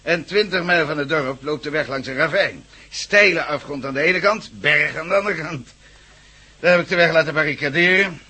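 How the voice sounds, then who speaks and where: An actor in a radio drama playing a confident, authoritative police commissioner who speaks tersely. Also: male voice, a little high, normal speed, bright, forceful tone, breathy edge, full character voice. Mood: confident, commanding.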